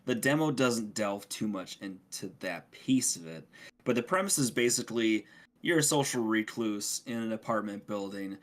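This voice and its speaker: A man co-hosting a gaming podcast in casual conversation, halting and repeating words as he explains things. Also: on the low side, expressive. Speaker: podcast co-host.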